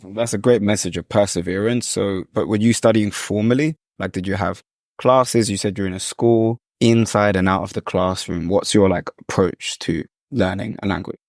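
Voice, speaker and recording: Upbeat male podcast host with a lowish voice, brisk interviewer. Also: steady tone, darkish tone, no echo, studio quality. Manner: upbeat, quick questioning. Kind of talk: interview hosting.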